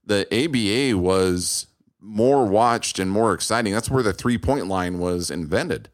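Low-voiced man in a dry-sounding conversation. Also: animated, a little slow, no room sound. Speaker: interviewer. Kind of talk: casual conversational interview.

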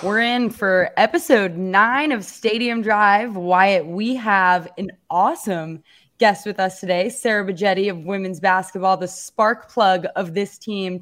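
A woman co-hosting a sports podcast, introducing the guest and asking friendly questions. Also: mid-range voice. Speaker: podcast co-host.

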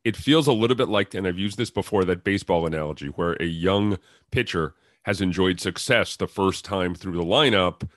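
A man hosts a sports podcast in a fairly low voice. The sound is dry, with very little room in it. He moves through prepared intros and interview questions. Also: moderate pace, fairly bright tone, no room sound.

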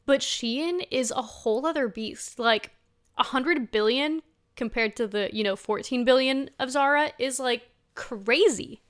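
Female host, twenties, smooth and slightly high voice, informal conversational commentary with emphatic key words. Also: unhurried. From Spain. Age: 20s. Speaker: host, sustainable fashion commentator. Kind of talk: casual conversational commentary to camera.

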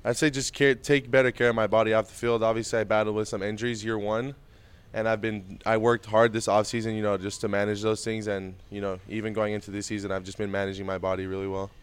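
Fast-talking flat young man. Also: fairly low pitch, smooth. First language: Spanish. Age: young adult. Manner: relaxed, easygoing answers with many fillers. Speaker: interview guest, baseball prospect. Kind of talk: casual interview answers.

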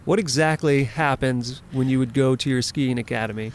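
A man from the American South hosting a podcast, asking relaxed, curious questions. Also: mid-range voice, normal speed, slight background noise. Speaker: podcast host. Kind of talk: casual interview conversation.

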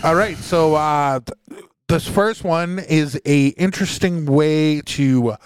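A man introducing listener calls on a podcast. He talks in a relaxed, easygoing way, and his voice sits slightly high. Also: slow, clean tone, dry recording. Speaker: podcast host reading calls. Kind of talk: casual chat.

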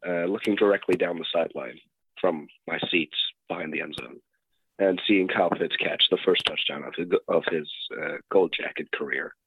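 Husky young man on a phone voicemail. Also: low-pitched, normal speed, dry recording. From Norway. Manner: wry, self-deprecating. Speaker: caller. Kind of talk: voicemail monologue. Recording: tinny phone line.

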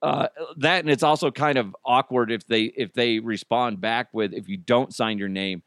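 Male podcast host, hoarse and raspy, mid-range voice, self-mocking ramble, dry close sound. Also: mid-range voice, normal speed, a bit bright, dry recording. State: hoarse, strained voice. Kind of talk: casual solo chat.

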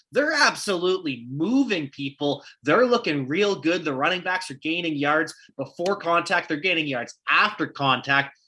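Husky bright-voiced Canadian man. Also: a little high. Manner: stat-heavy, questioning, quick asides. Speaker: podcast co-host. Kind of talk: casual sports analysis chat.

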